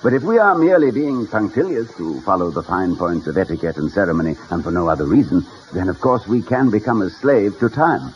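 Wordy, animated college president. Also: male voice, deep voice, brisk, few pauses. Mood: polite, hesitant. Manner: erudite, hesitant, gently witty. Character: courtly, wordy, good-humored male college president. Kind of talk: 1950s radio comedy acting. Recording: dull, hissy old radio recording.

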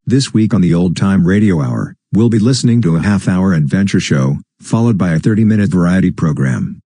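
A man presenting a radio show with a scripted, relaxed introduction. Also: deep voice, fairly quick, breathy edge.

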